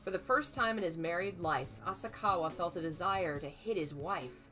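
A woman in her thirties reading a novel aloud on a live stream, her voice slightly hoarse, acting out the dialogue, then chatting casually. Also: moderate pace, pushed and bright, soft background music. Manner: acts out dialogue with raised pitch for frightened lines. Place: small home room, indoors.

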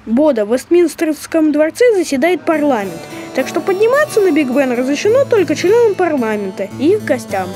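A know-it-all child helper from an educational cartoon explains things and sets others straight. The voice is high and very lively, over background music. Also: female voice, normal speed, few pauses. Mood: explaining.

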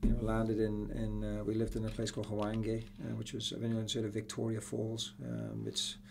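A middle-aged English man talks casually as a podcast guest. His voice is gravelly and fairly even in pitch, with hesitant phrasing. A faint hum sits behind him in a small room. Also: normal speed. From Newcastle, England. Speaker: soccer coach, interview guest. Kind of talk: casual reminiscing in a podcast interview. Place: small indoor room with acoustic panels. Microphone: podcast boom microphone.

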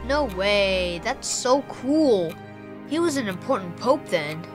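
A woman voicing a curious, excitable young boy in an audio drama, eager and full of questions. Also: mid-range voice, takes it slowly, over music. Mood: amazed.

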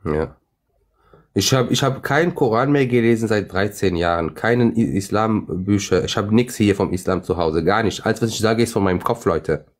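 A man's voice: fairly low pitch, moderate pace. A middle-aged man whose first language is Arabic, hosting a live stream and talking in an assertive, self-assured way that often repeats itself. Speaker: livestream host. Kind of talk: live stream debate chat.

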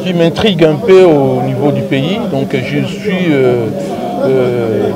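A man's voice: medium pitch, slow pace, over a noisy crowd, rough recording. A middle-aged man with a Congolese accent being interviewed informally, speaking hesitantly and repeating words as he goes. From Democratic Republic of the Congo. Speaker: interview guest, deputy mayor. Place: outdoors in a grassy garden, seated. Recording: outdoor recording with people chattering.

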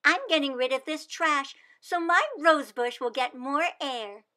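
A woman reading aloud voices a hopeful young schoolgirl who talks in an excited, slow and emphatic way. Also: high-pitched, pitched above the performer's own voice. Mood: eager.

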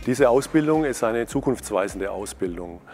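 A middle-aged man speaking into a lapel mic, calmly explaining a nursing training program as its instructor, with a few fillers. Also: mid-range voice, soft background music. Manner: measured, explanatory, some fillers. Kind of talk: interview statement.

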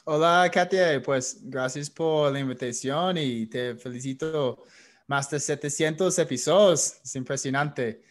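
A man's voice: fairly high pitch, unhurried. Australian-accented man, hesitant in a second language. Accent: Australian-accented Spanish. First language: English. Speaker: podcast guest, author and sales consultant. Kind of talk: casual interview talk. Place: indoor home office, on a video call. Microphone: desktop studio microphone close to the mouth.

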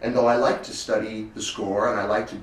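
A man in his twenties giving a thoughtful, measured and articulate interview answer, often rephrasing himself. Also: fairly low pitch, little pitch movement. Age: 20s. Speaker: cello soloist, interviewee. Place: furnished indoor room, seated on sofa. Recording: roomy echo, distant mic, faint noise.

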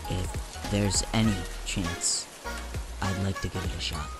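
An actor playing a shaken, lovestruck young college man in an audio drama, halting and earnest. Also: sits low, fairly even intonation. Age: young adult. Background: rain and music.

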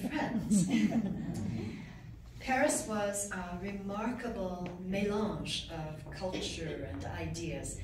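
Woman, acceptance speech, lowish voice, echoey room, distant camera. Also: background noise. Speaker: award recipient giving acceptance speech. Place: ornate reception room at a consulate. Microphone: podium mic, recorded from far off.

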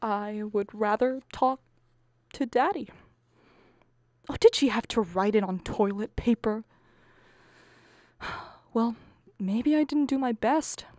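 A woman's voice: a little high, slow pace, long pauses, a little mellow, dry recording, studio quality. A woman playing an anxious, bickering middle-aged wife and exasperated mother in a radio comedy play, pleading and arguing melodramatically. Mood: wounded, pleading. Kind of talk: stage comedy acting for radio.

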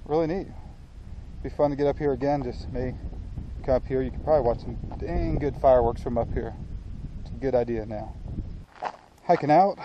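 American man vlogging outdoors, noisy audio. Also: a little high. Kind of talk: casual off-the-cuff chat to camera. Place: outdoors on an open hilltop trail. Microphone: action camera at arm's length.

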